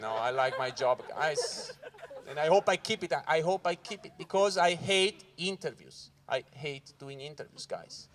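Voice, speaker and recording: A Swiss man from Ticino, an English speaker, doing a loud, animated stand-up set at a corporate event and talking straight to the crowd. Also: fairly high pitch, normal speed, slight background noise. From Switzerland (Ticino). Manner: loud, animated crowd work, keeps saying "guys". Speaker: stand-up comedian. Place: corporate event hall with live audience.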